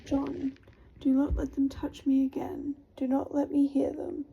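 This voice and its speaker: A woman's voice: high voice, completely flat delivery, measured pace, creaky, mellow, lo-fi sound, slight background noise. A woman whispering the lines of a frightened young woman in a storybook reading. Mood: frightened pleading. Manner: whispered, pleading. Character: frightened young woman haunted by a lost friend.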